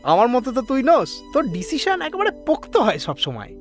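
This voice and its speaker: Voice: sits high, huge pitch swings, normal speed. An actor playing an easygoing, devoted, self-deprecating partner in an audio drama. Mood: supportive. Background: music.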